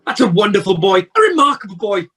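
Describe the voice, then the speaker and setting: An actor playing an emotional, repentant old male miser in an amateur radio play, with theatrical, halting exclamations. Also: mid-range voice, expressive, fairly bright tone, breathy edge. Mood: excited, delighted. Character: repentant old male miser, desperate then giddily joyful. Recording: home video-call audio.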